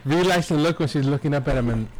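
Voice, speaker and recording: A man's voice: a little high, fast talker, a loud crowd, poor audio. A man co-hosting a podcast as a laid-back joking sidekick who chimes in during casual chat. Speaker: podcast co-host.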